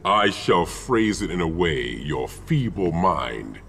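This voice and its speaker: An actor playing an arrogant, menacing titan supervillain in a video game, with a gravelly voice and pompous, disdainful formal speech. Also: male voice, low-pitched, big pitch swings, slow, fairly bright tone, a little hiss or hum, full character voice. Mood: haughty. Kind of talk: video game villain acting.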